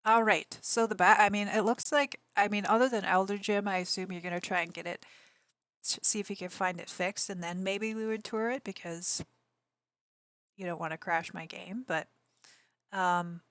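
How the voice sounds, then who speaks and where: A woman streamer with a slightly raspy voice, chatting casually and answering her viewers. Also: mid-range voice, fairly even intonation, moderate pace, a bit bright, dry recording. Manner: rambling, chatty, answers chat messages aloud. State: allergies. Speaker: game streamer.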